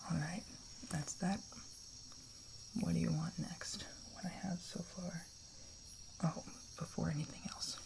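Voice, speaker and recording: An ASMR roleplayer playing a kind, reassuring camper, whispering in a hushed, soothing way and gently checking in. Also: mid-range voice, fairly even intonation, a little slow, vocal fry, long pauses, low-quality recording. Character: kind, reassuring camper looking after a stranger.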